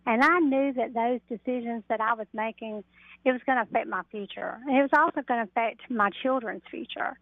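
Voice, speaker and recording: A woman's voice: a little high, a little fast, no room sound. A middle-aged woman guest on a radio interview, explaining her work, heard over a narrow telephone line. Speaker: interview guest, mediator and coach. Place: phoned into a radio show.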